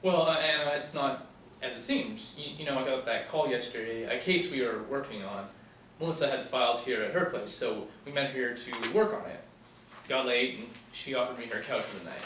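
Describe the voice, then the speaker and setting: A man playing a flustered, defensive boyfriend making excuses at a script reading, speaking haltingly. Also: mid-range voice, fairly bright tone, natural voice. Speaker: actor at a screenplay table reading. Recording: very echoey room, distant mic, faint noise.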